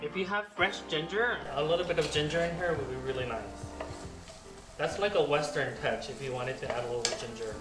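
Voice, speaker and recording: A man's voice: on the high side, a little fast. A Korean-born man whose first language is Korean, hosting a casual cooking tutorial in his kitchen and chatting through memories with lots of fillers. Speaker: home cook and video host. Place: home kitchen while cooking. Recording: roomy kitchen with loud background music.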